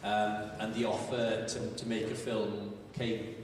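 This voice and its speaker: A man's voice: on the low side, flat delivery, slow pace, distant mic, noisy background. Man in an echoey hall. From Wales. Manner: thoughtful, searching for words. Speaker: filmmaker answering audience questions. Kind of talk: live Q&A answers.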